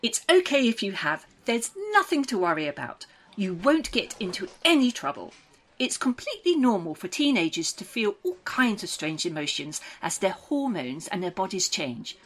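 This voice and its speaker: A woman's voice: medium pitch, sing-song, moderate pace. Lilting, soothing woman official. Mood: softly probing. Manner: reassuring, procedural, brightly patronising. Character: soothing, probing woman official of a dystopian programme. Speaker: interviewer. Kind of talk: audio drama dialogue, clinical interview.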